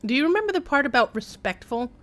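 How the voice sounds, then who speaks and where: A woman's voice: high-pitched, big pitch swings, fast, fairly bright tone. A woman streamer giving casual live game commentary in a wry, rambling, chatty way.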